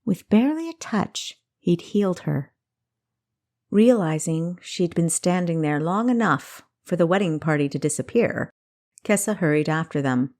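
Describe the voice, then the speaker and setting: A woman reading scripted fantasy fiction as a storyteller, with expressive, dramatic phrasing. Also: on the low side, measured pace. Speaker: storyteller, audiobook narrator.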